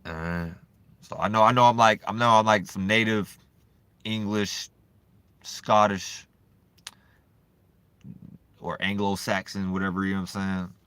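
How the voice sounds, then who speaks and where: A man vlogging in a loose, profane, rambling monologue, swinging between animated bursts and low mumbling. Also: unhurried, long pauses, clear, resonant tone, dry recording. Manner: loose, profane and repetitive, full of "you know what I'm saying".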